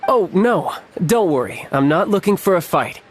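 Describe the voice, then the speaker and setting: An actor playing a suave, playful young envoy in a game cutscene, smooth, teasing and glib. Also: male voice, high-pitched, big pitch swings, normal speed, heavy background noise. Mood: playful, reassuring.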